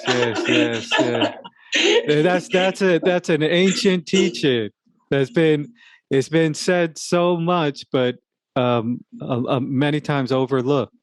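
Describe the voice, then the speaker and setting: A man hosting a casual podcast chat, speaking in a hesitant, halting way and often repeating words. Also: fairly high pitch, no room sound. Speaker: podcast host.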